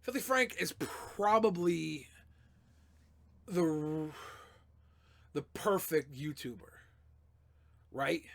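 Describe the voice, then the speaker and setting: A young man hosting a casual livestream, answering chat questions in a loose, profane way that swings between mumbling and loud outbursts. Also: fairly high pitch, animated, very slow, vocal fry, long pauses.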